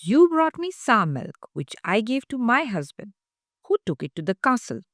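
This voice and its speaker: Casting: scolding farmer's wife acted by a woman reader, stern, emphatic, animated, dry sound. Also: a little high, moderate pace, no echo, voice pushed up.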